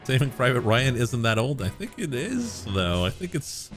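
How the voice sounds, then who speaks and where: A young man streaming a game, chatting casually and joking with viewers, his throat a little hoarse. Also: medium pitch, huge pitch swings, normal speed. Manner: joking, self-mocking, musing aloud. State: slightly hoarse. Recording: faint game music behind.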